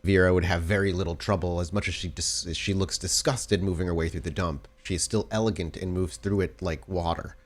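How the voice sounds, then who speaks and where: A man with a low voice acts as game master for a tabletop roleplaying game. He describes scenes in detail on the fly, often stopping to reword a phrase as he guides the players. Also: steady tone, fairly quick, hardly any pauses. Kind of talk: improvised scene narration and game refereeing.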